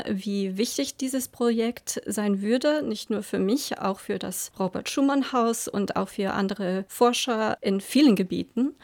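A woman from the United States, a native English speaker with an American accent, answering interview questions as an academic. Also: medium pitch. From United States, Colorado.

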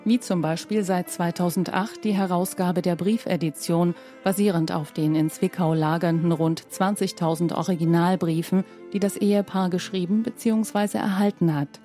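A woman narrating a broadcast news feature in a measured, scripted way. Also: on the low side, fairly even intonation, warm tone, faint music behind. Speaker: news reporter.

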